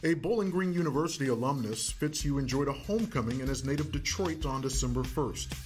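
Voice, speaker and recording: A man's voice: medium pitch, a little fast, music in the background. A man with an American accent reading scripted narration for a news feature as a reporter.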